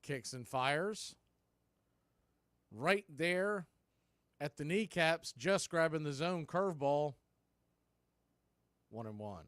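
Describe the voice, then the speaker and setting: A man calling a baseball game pitch by pitch as a fan radio host, mixing play calls with opinionated chat. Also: expressive, takes it slowly, long pauses, fairly bright tone, no echo, studio quality. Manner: conversational, opinionated fan commentary.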